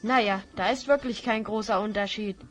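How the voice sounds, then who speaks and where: An actress playing a sensible, slightly worried child hero in a fantasy audio drama, with a natural, chiding manner. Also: female voice, mid-range voice, moderate pace, soft background music, close to an everyday voice. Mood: mildly worried.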